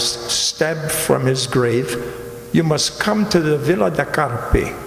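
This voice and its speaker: An actor playing a sly, shabby fat Italian stranger in a radio drama, with an Italian accent and a courteous, theatrical, mock-humble manner. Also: male voice, fairly high pitch, creaky, a little hiss or hum, heavily acted. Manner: mock-humble, ornate, teasing, deliberate speech. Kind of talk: amateur radio drama acting. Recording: very echoey reverberant hall.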